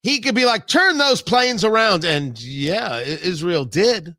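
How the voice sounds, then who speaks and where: An American man hosting a talk show, giving an opinionated political monologue in an emphatic way, repeating phrases deliberately for effect. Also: high-pitched, sing-song, pushed and bright, no echo, studio quality.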